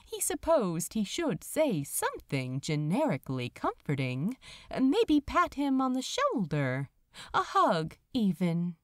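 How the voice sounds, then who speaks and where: A woman reading fiction aloud as a dramatic narrator, expressive and measured, with deliberate pauses. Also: medium pitch, no room sound, studio quality. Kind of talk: dramatic scripted audiobook narration.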